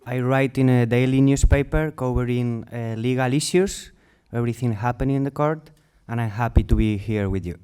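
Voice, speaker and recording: Male journalist, Spanish accent, mid pitch, smooth clear voice, greeting a group. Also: fairly quick, dark tone. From Madrid, Spain. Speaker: newspaper journalist. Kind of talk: self-introduction to an audience.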